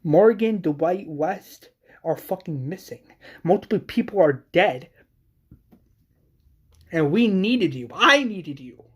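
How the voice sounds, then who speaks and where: A man voicing a furious, bitter woman who shouts and swears accusingly in a told drama story. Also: on the high side, a little slow, husky. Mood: furious, desperate.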